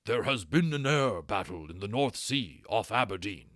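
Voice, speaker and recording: A man's voice: fairly low pitch, animated, moderate pace, a bit bright, no echo, clean studio sound. A man voicing an authoritative dragon training master in an audiobook, giving urgent, clipped military orders. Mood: urgent, grave. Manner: urgent, commanding, no preliminaries.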